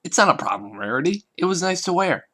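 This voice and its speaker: A man's voice: high-pitched, sing-song, fast, bright, forceful tone, pitched above the performer's own voice, full character voice. A man voicing an easygoing, slightly guarded teenage girl with a casual, hesitant manner in a story reading.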